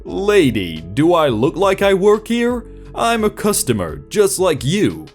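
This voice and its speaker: Male narrator voicing a smug customer faking an American accent, pitched up. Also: wildly swooping pitch, a little slow, soft background music, heavily acted. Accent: put-on American.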